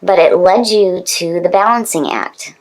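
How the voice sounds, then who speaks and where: A woman's voice: lowish voice, unhurried, darkish tone, poor audio. A woman speaking as a tarot reader in a casual, conversational style, with an informal, emphatic manner.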